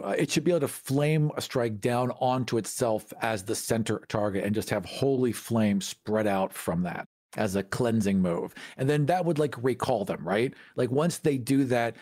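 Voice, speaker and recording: A man's voice: medium pitch, a little mellow. A man co-hosting a podcast in a casual discussion about tabletop gaming. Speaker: podcast co-host.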